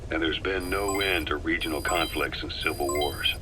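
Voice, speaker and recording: An actor playing a composed, worldly military operative giving a measured briefing in a video game. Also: male voice, extremely low pitch, very noisy. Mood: matter-of-fact. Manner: measured, matter-of-fact, explanatory.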